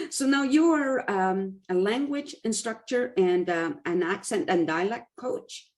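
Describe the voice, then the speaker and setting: A woman hosts a relaxed interview, her voice at an ordinary middle pitch. She keeps things light and breaks into easy laughter. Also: slow pace. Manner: laughs easily, light and friendly questions. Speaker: podcast host. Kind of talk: casual interview.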